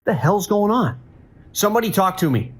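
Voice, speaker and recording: A man's voice: high-pitched, expressive, moderate pace. Man acting as a harried, commanding police dispatcher. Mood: urgent, frustrated. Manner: urgent, clipped commands. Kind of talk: scripted audio drama.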